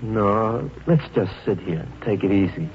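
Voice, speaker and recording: An actor playing an earnest young army lieutenant in an old-time radio drama. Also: male voice, lowish voice, expressive, unhurried, a little mellow. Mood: tender. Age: young adult. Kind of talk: 1940s-50s radio drama acting. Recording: muffled old radio recording, faint noise.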